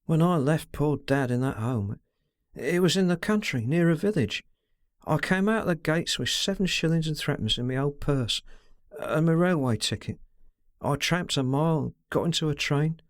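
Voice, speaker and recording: A man's voice: on the high side, animated, moderate pace, no echo, studio quality, close to an everyday voice. A man reading a novel aloud, voicing a distressed young woman under twenty who speaks hurriedly, stammers and pleads ardently. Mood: pleading.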